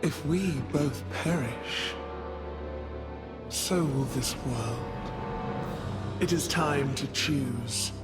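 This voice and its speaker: A man's voice: medium pitch, drawn-out words, long pauses. An actor playing a cunning, cruel villain god in a video game cutscene, smug and theatrical as he taunts. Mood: scheming, persuasive. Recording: loud music under the voice.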